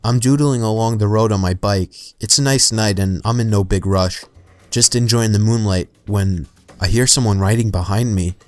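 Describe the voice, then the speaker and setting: A man with a fairly low voice reads creepy true stories in a calm, steady, scripted way. Soft music runs quietly beneath his narration. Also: moderate pace. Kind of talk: scripted horror story narration.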